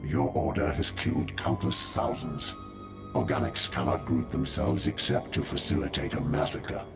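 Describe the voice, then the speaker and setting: A deep, gravel-edged droid villain for a video game. He speaks with pompous, condescending menace and deliberate scorn, under very loud music. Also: very animated, exaggerated voice. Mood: cold, proud. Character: menacing, contemptuous droid hive-mind leader, male villain.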